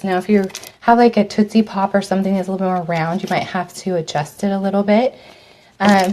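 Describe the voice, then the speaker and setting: A woman with a cold and a slightly raspy voice, chatting through a live step-by-step craft demo, her voice dropping off as she leans away from the mic to work. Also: medium pitch, a little mellow, a little hiss or hum. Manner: chatty, step-by-step instructions with fillers. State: hoarse from a cold. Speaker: craft host.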